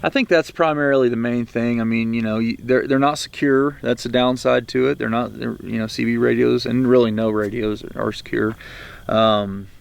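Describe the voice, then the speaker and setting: A man's voice: medium pitch, steady tone, normal speed, gravelly, clean tone. A man with an American accent explaining gear casually to viewers in a rambling, hesitant, folksy way, as the host of a video. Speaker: video host, prepper reviewer. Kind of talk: casual explanatory product talk to camera.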